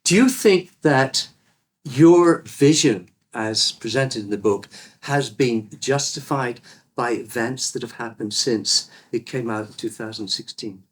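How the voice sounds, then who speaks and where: A man serves as interviewer at a university event. He lays out his questions at length, in a measured and formal way. His pitch stays at an ordinary middle level. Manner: measured, long multi-part questions. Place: lecture room at a university event.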